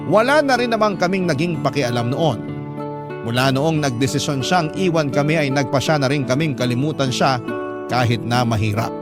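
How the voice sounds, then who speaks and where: A man with a Filipino accent reading a listener's letter as an emotive, confiding radio drama narrator. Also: mid-range voice. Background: guitar music.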